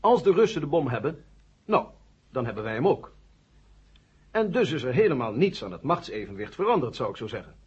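A man's voice: medium pitch, big pitch swings, a little fast, pauses often, slightly rough. An actor playing a reasonable male political adviser who argues politely in a radio drama. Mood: reasonable, persuasive. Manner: polite, argumentative. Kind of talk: 1970 Dutch radio drama acting. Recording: muffled old 1970 radio recording.

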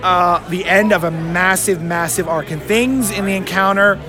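A man's voice: high-pitched, normal speed, a crowd in the background. A man chatting casually and eagerly as an interview guest, with plenty of emphasis. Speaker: interview guest, audio drama writer. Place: fan convention.

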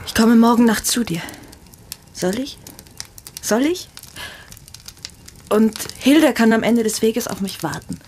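A woman's voice: fairly high pitch, lively intonation, a little slow, pauses often, darkish tone, slight background noise. An actress playing a yearning upper-class married woman in a radio drama, speaking in an intimate, hesitant, pleading way. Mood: pleading, tender.

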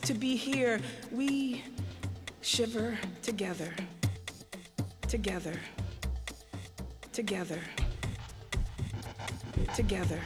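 Very slow woman performer over loud music. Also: medium pitch, fairly even intonation. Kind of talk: slow spoken-word performance.

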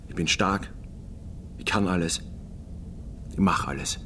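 Very deep, quiet, earnest mountain labourer. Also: male voice, a little slow, a little hiss or hum. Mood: stubborn, quiet. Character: earnest, taciturn mountain labourer, a limping man in love. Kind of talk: radio drama acting.

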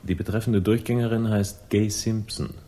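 An actor playing a dry, laconic hard-boiled investigator in a 1960s German radio crime drama, deadpan and sardonic. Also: male voice, lowish voice, normal speed, natural voice. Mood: cool, probing. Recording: 1960s studio radio play with music.

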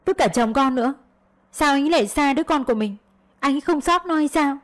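A woman's voice: sits high, expressive, fast talker, voice pushed up. Woman acting a tearful, imploring young mother. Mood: aggrieved, upset. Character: desperate young mother pleading tearfully with her mother-in-law.

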